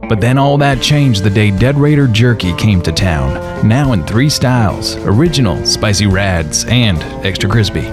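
Man doing a theatrical wasteland jerky pitchman, swooping delivery. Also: lively intonation, moderate pace, few pauses, over music, natural voice. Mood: hard-selling. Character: folksy post-apocalyptic wasteland pitchman selling raider jerky. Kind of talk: radio ad narration.

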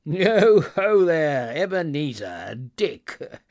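A man voicing a hearty, jovial old merchant in a storybook, with a rich, oily voice and booming drawn-out calls. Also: big pitch swings, a little mellow, full character voice. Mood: jovial calling. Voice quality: oily, rich, fat, comfortable.

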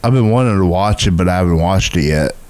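A man who is a regular on the show, chatting casually with deadpan jokes. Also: low-pitched, fast talker. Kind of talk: casual chat.

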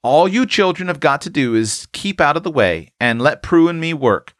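A man's voice: a little high, very animated, a little slow, fairly bright tone, no room sound, clean studio sound, pitched above the performer's own voice, full character voice. A man voicing a spirited, bossy young farm girl from a storybook, with commanding, rustic old-time speech.

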